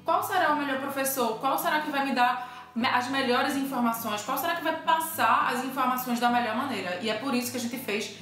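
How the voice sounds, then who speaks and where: A woman's voice: high voice, fairly quick, a bit bright. A Brazilian woman with a Brazilian Portuguese accent, explaining things to viewers as a teacher and co-host in a playful debate. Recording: roomy echo, faint music behind.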